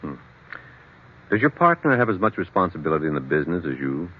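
Stern old-radio psychiatrist, deep voice, measured and clinical, dull muffled sound. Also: male voice, big pitch swings, husky, full character voice. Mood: probing, detached. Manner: measured, clinical questioning with thoughtful hums. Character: stern, probing, famous state psychiatrist. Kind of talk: 1940s radio drama acting.

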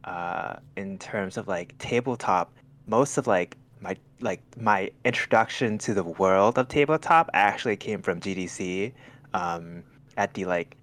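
Very low-voiced hesitant man from Thailand. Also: a little slow, fairly bright tone. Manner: hesitant, many restarts and "like" fillers. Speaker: podcast guest. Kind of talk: casual chat over a call.

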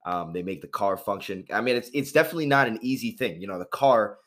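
A man with a fairly low voice hosts a sports radio interview in a loose, casual way. He is enthusiastic and effusive, piling on praise and repeating himself as he talks, and he often gets louder when excited. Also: fast talker.

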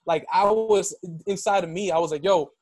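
High-voiced young man, rambling and animated. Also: normal speed, no room sound. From Dominican. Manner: animated storytelling, heavy use of "like". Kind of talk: casual conversation.

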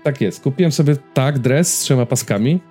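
Casual male podcast host, thirties, mellow timbre, hesitant rambling delivery, faint music bed. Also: mid-range voice, a little fast, breathy edge. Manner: rambling, hesitant, repeating words as he goes. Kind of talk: casual chat about personal habits and series.

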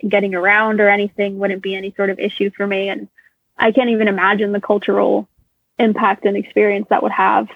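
A woman's voice: mid-range voice, little pitch movement, brisk, gravelly, breathy edge, dry recording. Woman, 20s, native Russian speaker, interview guest, thoughtful with fillers, tinny phone line, dry sound. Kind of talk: casual interview conversation.

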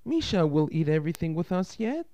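Man doing a gentle Russian aunt, storybook figure, much raised pitch, patient, coaxing, protective. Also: sing-song, brisk, no room sound. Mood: soothing, pleading. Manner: soothing, coaxing.

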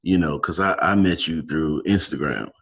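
Very deep-voiced husky man interviewing. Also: moderate pace. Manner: rambling, searching for words, many fillers. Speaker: podcast interviewer. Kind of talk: casual interview chat.

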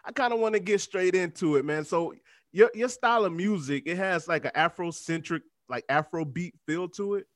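A man's voice: sits high, normal speed, fairly bright tone, no room sound, studio quality. A man hosting a podcast, talking in a casual, slangy way and tossing in "man" and "you know" often. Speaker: podcast host. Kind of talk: casual interview chat.

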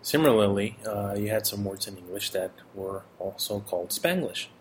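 A middle-aged man from Mexico giving a casual personal update, relaxed and rambling, with fillers and self-corrections. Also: lowish voice, normal speed, a little hiss or hum. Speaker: audio blogger.

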